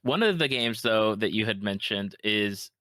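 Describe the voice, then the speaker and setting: A man's voice: fairly low pitch, lively intonation, moderate pace, sharp tone, no room sound. A man in his thirties or forties hosting a casual interview conversation. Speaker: podcast host.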